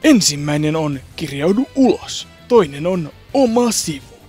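A man from a fandub group giving a plain, explanatory voice-over tour of its website. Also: high voice. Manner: plain explanatory, trailing off softly.

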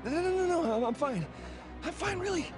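An actor playing a nervous, evasive man who stammers and hesitates in an animated game cutscene. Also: very high-pitched, a little fast. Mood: frantic. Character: nervous, evasive man cornered by his girlfriend. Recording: loud music under the dialogue.

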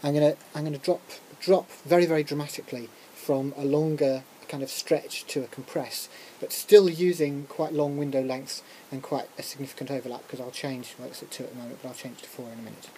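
A lecturer giving an informal software tutorial, talking through a demonstration hesitantly with muttered asides and interruptions to themselves. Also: mid-range voice, steady tone, brisk, hardly any pauses. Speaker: music technology lecturer. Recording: clearly noisy recording with room ambience.

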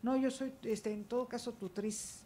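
A woman's voice: on the high side, fairly even intonation, normal speed, mellow. A woman panelist speaking emphatically, checking in with rhetorical questions. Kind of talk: academic panel talk. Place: university auditorium panel.

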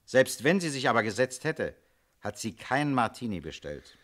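An actor playing a shrewd, commanding police inspector in a German radio crime drama, questioning in a terse, authoritative way. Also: male voice, mid-range voice, very animated, normal speed, a bit bright. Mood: calm, knowing.

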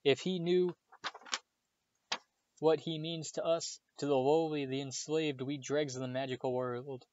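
Guy putting on a squeaky little house elf, all servile and pleading, high and jumpy voice. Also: fairly even intonation, a little slow, a little mellow, no room sound, natural voice. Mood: anguished. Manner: squeaky, pleading, keeps saying sir. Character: small frightened male house elf, servile, tearful. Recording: slightly dull dry recording.

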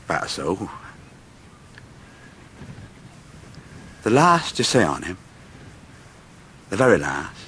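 An actor playing a humble, heartbroken young fisherman in a radio drama, halting and earnest. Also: sits low, a bit bright, slight background noise. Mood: grateful, resigned. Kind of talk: 1990s radio drama acting.

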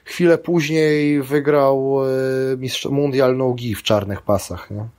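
A man's voice: medium pitch, fairly even intonation, takes it slowly, darkish tone. A middle-aged man, a grappling athlete and coach, talking in a casual interview with many restarts and fillers.

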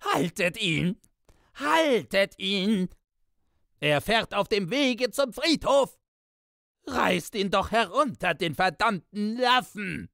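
A man's voice: high-pitched, very animated, slow pace, vocal fry, pushed and bright, dry recording, clean studio sound, voice pushed up, full character voice. A man voicing an enraged, indignant man who bellows helpless curses in a dramatised literary story. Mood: frantic fury. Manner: bellowing, ranting in exclamations and insults.

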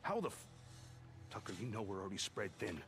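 A man's voice: fairly low pitch, big pitch swings, a little fast, creaky, fairly bright tone, soft background music, exaggerated voice. An actor playing an exasperated work-camp foreman in a video game, argumentative and emphatic. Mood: urgent. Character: exasperated work-camp foreman standing up to his boss, Alkai. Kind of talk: naturalistic game cutscene acting.

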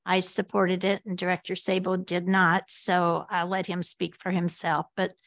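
An American woman speaking as a board director, giving measured remarks in a public meeting. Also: fairly low pitch, completely flat delivery, a bit bright, no echo.

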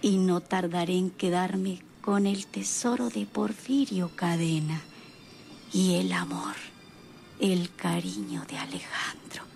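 Slow, creaky, scheming villainess. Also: female voice, fairly low pitch, expressive, a little mellow, slight background noise, full character voice. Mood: vain, seductive. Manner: slow, gloating, breathy asides. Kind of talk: radionovela acting, soliloquy.

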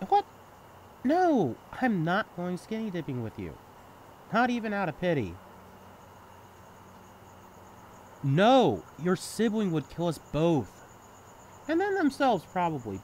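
A man's voice: high-pitched, a little mellow, slight background noise, full character voice. A young man acting in an intimate audio roleplay as a sibling's best friend, talking candidly and casually in a caring, teasing way. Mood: playful, teasing. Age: young adult. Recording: night ambience with chirping crickets. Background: crickets and insects, outdoor ambience.

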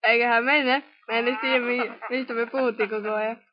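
A woman's voice: a little high, steady tone, no room sound. A woman improvising comic amateur dubbing for a cartoon. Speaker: amateur fandub voice actor. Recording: dull lo-fi home recording.

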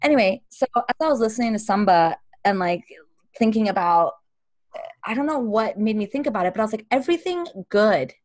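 A woman's voice: medium pitch, animated, darkish tone, no room sound. Earnest woman from Brazil with emphatic delivery. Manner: earnest, emphatic, quick bursts of intensity. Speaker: guest, life coach. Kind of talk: casual podcast conversation.

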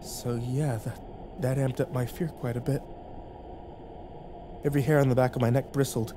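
A man narrating a first-person horror story, hushed and tense, with occasional acted lines. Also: medium pitch, steady tone, normal speed, noisy background.

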